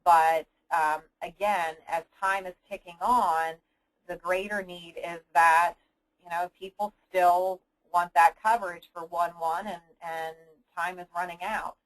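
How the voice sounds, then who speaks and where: A woman hosting an informal business briefing for agents, explaining things in an instructive, deliberate way with pauses mid-sentence. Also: lowish voice, steady tone, low-quality recording. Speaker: host of an insurance agent conference call.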